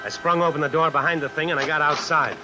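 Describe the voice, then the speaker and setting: An actor playing a brawling, boastful tough drifter with an American accent in 1940s radio suspense style. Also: male voice, fairly high pitch, fairly even intonation, fast talker, over music, close to an everyday voice. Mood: uneasy narration. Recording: old radio recording under rain noise.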